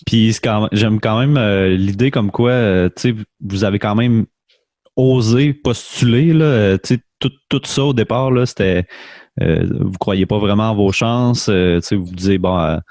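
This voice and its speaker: Low-voiced Québécois podcast host. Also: male voice, normal speed, a little mellow, dry recording, studio quality. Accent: Québécois French. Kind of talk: casual interview chat.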